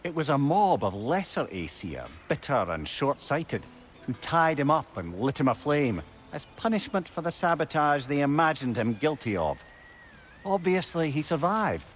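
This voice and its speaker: A man's voice: on the high side, big pitch swings, moderate pace. A man voicing a learned old advisor in a video game, eloquent and wry, with a Scottish accent. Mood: storytelling. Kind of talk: video game storytelling and banter.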